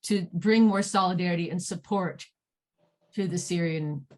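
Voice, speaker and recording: A woman moderating an online panel who is losing her voice, halting and clearing her throat as she speaks. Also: lowish voice.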